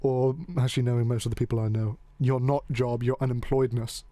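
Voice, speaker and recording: A man's voice: mid-range voice, level tone, a little fast, mellow, no room sound. A man hosting a casual talk show, rambling and joking loosely and cursing now and then. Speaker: podcast host. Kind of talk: casual chat.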